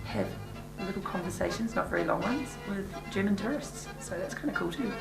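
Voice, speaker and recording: A woman's voice: medium pitch, lively intonation, fairly quick, vocal fry. A woman teacher speaking casually and a little self-deprecatingly. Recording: echoey room, music.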